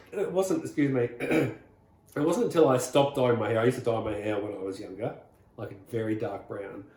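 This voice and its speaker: Middle-aged Aussie male guest, rambling and relaxed storyteller, echoey room. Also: mid-range voice, normal speed. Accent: Australian. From Australia. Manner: rambling, relaxed, many "uh"s and restarts. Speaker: rockabilly singer, guest. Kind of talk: casual interview storytelling.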